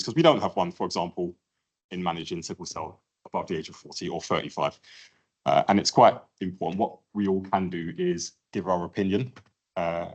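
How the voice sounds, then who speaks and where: A man presenting to a patient audience in an event room, halting with many ums and restarts. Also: low voice, husky. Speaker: clinician speaker at a patient event. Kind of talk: presentation talk with slides.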